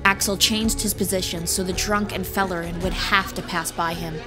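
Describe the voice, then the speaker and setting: A woman's voice: fairly low pitch, fairly even intonation, moderate pace. A woman reading scripted fantasy audiobook narration as the storyteller. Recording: music bed behind voice.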